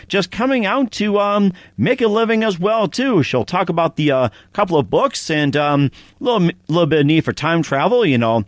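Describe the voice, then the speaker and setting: A man's voice: fairly high pitch, no room sound, clean studio sound. Chatty male podcast host, rambling delivery. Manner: rambling, many fillers and repeated "as well, too". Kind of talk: casual interview with read sponsor spots.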